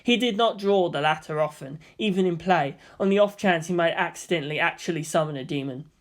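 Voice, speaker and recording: A woman's voice: lowish voice, brisk. A woman reading scripted story narration as the narrator.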